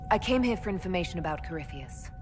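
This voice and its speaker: Woman acting composed, low-pitched elven mage leader. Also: animated, fast talker, natural voice. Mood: firm, questioning. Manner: direct, questioning, composed. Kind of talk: dramatic video game dialogue. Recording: background music under the voice.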